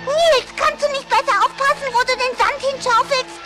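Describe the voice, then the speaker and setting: A woman voicing a small, excitable, childlike animal in a cartoon audio play, pleading and bossy. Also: very high voice, moderate pace, over music.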